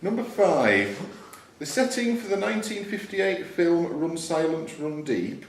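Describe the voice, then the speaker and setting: A man's voice: a little high, big pitch swings, measured pace. A man acting as quizmaster, reading quiz questions aloud to a live room in a club. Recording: roomy, distant microphone, faint background noise.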